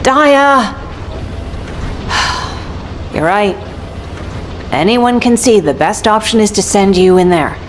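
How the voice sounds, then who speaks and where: A woman's voice: mid-range voice, slight background noise, lightly acted. An actress playing a protective, worried mother figure in a game cutscene, speaking in a hesitant, careful way. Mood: reluctant. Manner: protective, hesitant, slow-spoken. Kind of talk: video game cutscene acting.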